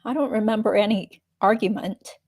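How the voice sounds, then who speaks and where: Woman acting as female witness, mid-pitched, close dry sound, guarded, hesitant, noncommittal, deposition reenactment. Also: normal speed, darkish tone, husky, no echo. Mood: hesitant, recalling. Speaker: reader playing a deposed witness. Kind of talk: dramatic reading of a deposition transcript.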